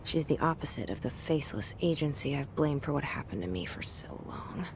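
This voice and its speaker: An actress playing a guarded young woman who leads a secret agency, speaking her inner thoughts quietly and introspectively. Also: a bass voice, sing-song, a little fast, creaky, noisy background, heavily acted. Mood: wary. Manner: quiet, introspective, half-whispered. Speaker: game protagonist. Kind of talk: inner monologue in game cutscenes. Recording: game score under voice.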